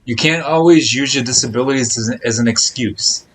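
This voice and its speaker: A man's voice: medium pitch, brisk. A man chatting casually on a live game stream, speaking in a blunt, admonishing, repetitive way. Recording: noticeable background noise.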